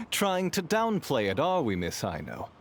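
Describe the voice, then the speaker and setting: An actor playing a smug, courteous, scheming business dealer, suave and formal, in a video game. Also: male voice, fairly high pitch, a little hiss or hum, full character voice. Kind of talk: theatrical video game acting. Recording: game music behind.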